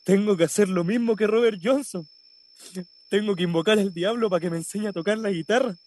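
A man's voice: high-pitched, fairly quick, a little mellow. An actor playing a despondent, stubborn young man with a slangy Chilean accent in a radio drama. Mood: reckless, determined. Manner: colloquial, slangy. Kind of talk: naturalistic radio drama acting.

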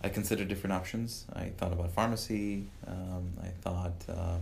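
A man in his thirties from Uganda, a professor answering interview questions in a measured way, with many "uh" fillers and restarts. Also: sits low, steady tone, creaky, a little mellow, slight background noise. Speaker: professor and guest. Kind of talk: podcast interview.

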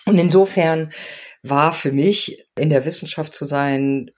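A woman's voice: deep voice, measured pace, a little mellow. A woman in her fifties from Argentina, a sociology professor answering interview questions thoughtfully with frequent fillers. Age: 50s. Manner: thoughtful, many fillers, laughs now and then. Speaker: sociology professor, podcast guest.

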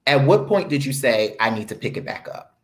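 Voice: mid-range voice, big pitch swings, brisk. An interview host with a fervent, preacherly cadence who asks faith questions and preaches at times.

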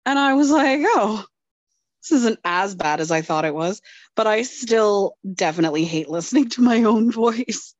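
A woman's voice: medium pitch, animated, normal speed, dry recording, lo-fi sound. A woman co-hosting a podcast in casual chat, joking drowsily about herself. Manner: self-deprecating, drowsy joking. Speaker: podcast co-host.

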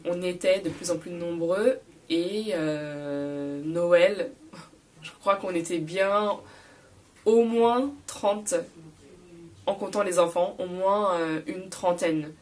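Young woman host, stuffy from a cold, slightly hoarse, low voice, sits back from the mic, chatty teaching tone. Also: a little mellow, recorded from a distance. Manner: frequent fillers, repeats key words slowly. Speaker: podcast host teaching French. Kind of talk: casual spoken lesson.

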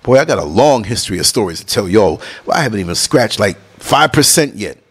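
Vlogger, middle-aged man, casual but preachy, leaps between high and low tones. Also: big pitch swings, fairly quick, a bit bright, breathy edge. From New York City. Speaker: vlogger and podcast host. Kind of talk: casual talk over concert footage.

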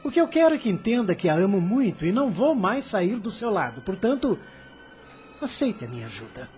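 A high, forceful returned son. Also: male voice, very animated, a little fast, soft background music. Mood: persuasive. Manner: commanding, then pleading. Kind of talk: radio drama acting.

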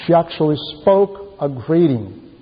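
A man preaching a sermon in an emphatic, deliberate manner, repeating key phrases for emphasis. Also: on the high side, sing-song, husky. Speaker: preacher reading a translated homily. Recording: muffled, very reverberant hall sound.

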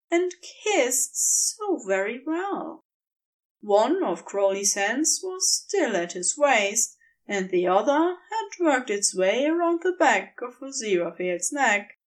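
Casting: female storyteller for audio fiction, fairly high voice, slow measured delivery, expressive. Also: rough recording. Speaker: narrator. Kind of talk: scripted fiction narration, storytelling.

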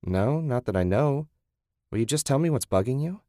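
A man's voice: mid-range voice, animated, normal speed, mellow, no echo, studio quality, pitched above the performer's own voice, close to an everyday voice. A man voicing an angry, exasperated wife in a heated marital fight, talking in a raised, defensive voice.

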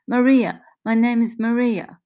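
Woman performing an Italian-accented old woman, storybook figure, raised pitch, desperate, pleading, broken English. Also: steady tone, measured pace, mellow. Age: 80s. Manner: broken English, imploring, repeats words.

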